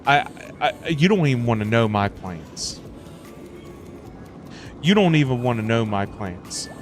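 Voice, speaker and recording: A man livestreaming a game with casual commentary, excitable and slangy, often repeating himself. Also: medium pitch, measured pace. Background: game music.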